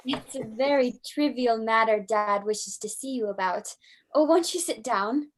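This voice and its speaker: A woman's voice: a little high, unhurried, a little hiss or hum, lightly acted, rough recording. A woman playing a gracious, courteous young daughter in an old-time radio style drama. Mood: polite, welcoming. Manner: courteous, proper. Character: polite American daughter, young gracious new manor owner.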